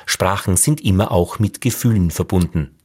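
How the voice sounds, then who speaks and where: A man narrating a radio feature in a measured, questioning way. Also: low voice, a little mellow, slightly rough. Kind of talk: scripted narration.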